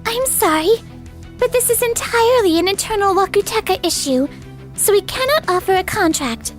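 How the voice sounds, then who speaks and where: A woman's voice: very high voice, lively intonation, moderate pace, soft background music. A woman voicing a firm, loyal young businesswoman in a manga drama dub, speaking formally. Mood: firm, cool.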